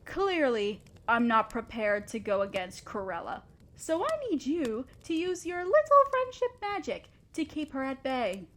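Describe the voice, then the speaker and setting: A woman's voice: high voice, very animated, unhurried, fairly bright tone, exaggerated voice. A woman acting a haughty, ruthless evil queen with an imperious, theatrical manner, speaking to a subordinate in a scripted fantasy audio roleplay. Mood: imperious, impatient. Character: haughty, ruthless evil sorceress queen fretting over her threatened empire.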